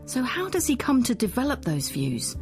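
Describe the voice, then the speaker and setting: A woman's voice: high voice, wildly swooping pitch, normal speed, over music. A woman presenting a history documentary, moving between scripted narration and interview questions.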